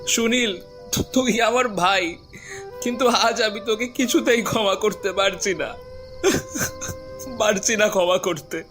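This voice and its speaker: Man playing a high-voiced, bright grieving brother. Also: measured pace, pauses often, faint music behind. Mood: grieving, reproachful. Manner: emotional, pleading reproach. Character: grieving, reproachful brother, a grown village man. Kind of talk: horror cartoon voice acting.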